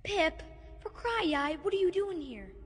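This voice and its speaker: A woman voicing an earnest, excitable young boy with an urgent manner in a dramatized audiobook. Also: very high voice, a little slow, exaggerated voice. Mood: urgent, pleading. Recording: reverberant hall, loud music underneath.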